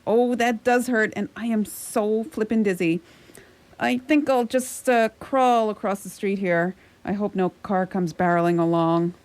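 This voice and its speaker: A man's voice: sits high, a little slow. Guy playing a grumbly middle-aged wannabe superhero, wry muttering, radio comedy thing. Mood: pained, grumbling. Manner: wry, muttering, groaning self-talk. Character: clumsy middle-aged would-be superhero, grumbling deli owner son. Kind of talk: live radio comedy drama acting.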